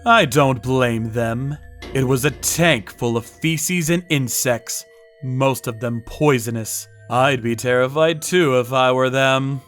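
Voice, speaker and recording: A man narrating a manga dub as a first-person story, with a measured, menacing, theatrical delivery. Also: mid-range voice, fairly bright tone, soft background music.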